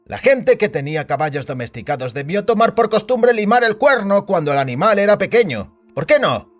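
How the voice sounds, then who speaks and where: Man playing a lively, uneasy young-sounding subordinate. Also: high voice, a little fast. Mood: earnest. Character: Svets, uneasy, deferential male subordinate recovering in hospital. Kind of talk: scripted Spanish audio drama acting. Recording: music bed behind the voice.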